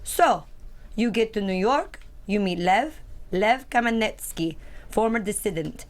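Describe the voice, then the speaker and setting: A woman's voice: mid-range voice, expressive, a little slow, lightly acted. A woman playing an older male Russian mobster, worldly, cynical and blunt, reading in a screenplay table read. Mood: knowing.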